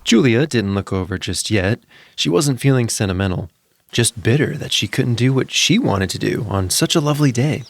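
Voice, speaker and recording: A man narrating a scripted story as a podcast storyteller, in a gentle storybook way with deliberate phrasing. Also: medium pitch, lively intonation, gravelly. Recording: music behind the voice. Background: music.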